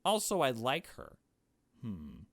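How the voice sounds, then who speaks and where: Guy reading a visual novel out loud, super animated, chatty reactions, dry close sound. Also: medium pitch, takes it slowly, dry recording. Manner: expressive, chatty, theatrical. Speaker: gameplay narrator.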